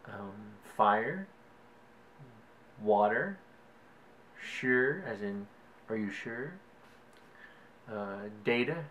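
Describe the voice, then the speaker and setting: A man's voice: mid-range voice, fairly bright tone, slight background noise, low-quality recording. A young man from South Louisiana with a Cajun accent, rambling casually through a word list in a vlog, with lots of "like" and "um". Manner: rambling, hedging with "like" and "um". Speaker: vlogger.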